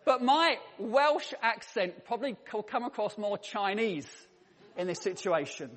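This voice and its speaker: A man's voice: sits high, a little slow, fairly bright tone. A man preaching a sermon in a church, telling stories with a dry, humorous touch. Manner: humorous anecdotal storytelling. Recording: long reverb in a church hall.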